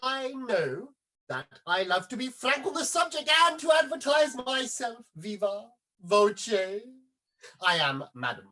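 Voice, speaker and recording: A woman's voice: on the high side, sing-song, unhurried, bright, forceful tone, heavily acted, rough recording. A woman playing a flamboyant, self-advertising male puffer in a period stage comedy, with pompous, effusive delivery. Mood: smug, effusive. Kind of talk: theatrical period comedy play reading.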